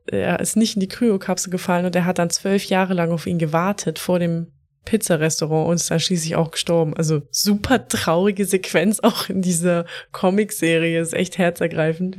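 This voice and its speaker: A woman's voice: lowish voice, darkish tone. A woman co-hosting a casual podcast, telling stories in a chatty way and laughing often. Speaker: podcast co-host.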